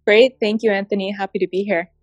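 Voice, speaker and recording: A woman's voice: mid-range voice, fairly even intonation, husky, no room sound, highs cut off. Reflective woman in her thirties telling her story. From Japan. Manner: reflective, storytelling, thoughtful pauses. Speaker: guest, healthcare innovation director. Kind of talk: interview conversation.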